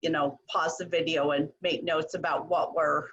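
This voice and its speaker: A woman with a fairly low voice hosts a casual video call. She talks in a relaxed, reflective way, leaning on easy fillers as she thinks aloud. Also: normal speed. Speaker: coach, host.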